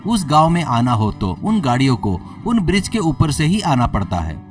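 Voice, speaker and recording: A man's voice: a little high, big pitch swings, normal speed, far from the mic, music in the background. A man narrating a scripted moral story as a storyteller.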